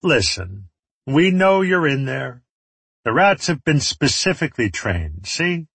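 A man voicing a menacing male warlord in an audiobook, taunting and mockingly genteel in a theatrical, drawn-out way. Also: medium pitch, big pitch swings, gravelly, a bit bright, slightly rough, dry recording, pitched above the performer's own voice, heavily acted. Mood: smug, menacing.

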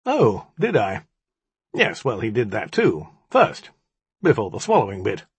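Man voicing a sardonic, husky lioness jinni. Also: lowish voice, huge pitch swings, unhurried, no room sound, lo-fi sound, heavily acted. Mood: glib. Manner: sarcastic, colloquial, self-justifying. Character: sardonic, defiant jinni in warrior lioness form. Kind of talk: audiobook character dialogue.

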